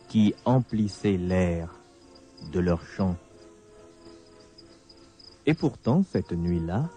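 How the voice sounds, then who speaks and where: Slow, low-voiced male radio storyteller. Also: dark tone. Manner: measured, deliberate, dramatic pauses. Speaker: storyteller, narrator. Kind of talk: scripted radio drama narration. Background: music, night insects.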